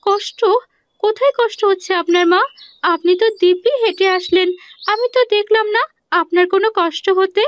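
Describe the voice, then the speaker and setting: A woman's voice: extremely high pitch, fairly quick, dry recording. A woman playing a foolish, earnest young village wife in a cartoon, asking things in a naive, literal-minded way. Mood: naive, puzzled. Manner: naive, wide-eyed questioning. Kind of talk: cartoon voice acting.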